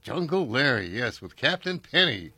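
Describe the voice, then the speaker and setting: A man's voice: mid-range voice, big pitch swings, normal speed, bright, forceful tone, breathy edge, dry recording. An older man, hosting a casual chat and reminiscing in a rambling way, full of fillers and restarts.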